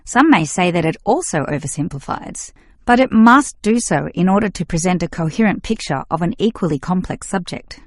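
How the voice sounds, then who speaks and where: Female student played by a woman, young, agreeable yet opinionated, measured scripted delivery, dry studio acoustic. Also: on the low side, lively intonation, no room sound. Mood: agreeable, reasoning. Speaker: student in a listening test dialogue. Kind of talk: scripted exam dialogue acting.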